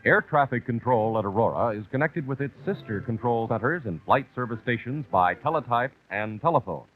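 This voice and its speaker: A man's voice: medium pitch, expressive, fairly quick, faint music behind. An American man reading scripted documentary narration on an old, muffled 1960s television soundtrack. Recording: muffled 1964 TV kinescope, narrow band.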